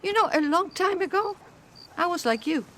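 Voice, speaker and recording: A woman playing a kindly, reflective, gently amused older nun in a video game cutscene. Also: extremely high pitch, expressive, normal speed, slight background noise, exaggerated voice. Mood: earnest, consoling. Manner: gentle, reflective, good-humoured.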